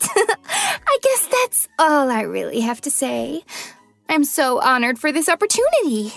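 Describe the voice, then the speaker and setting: A woman acting a ditzy sorority girl for a visual novel. Her voice is very high and lively, gushing and giggly, drawn out at an unhurried pace. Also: creaky, no room sound, soft background music, heavily acted. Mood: giddy, gushing. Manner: gushing, giggly, breathless valley-girl phrasing.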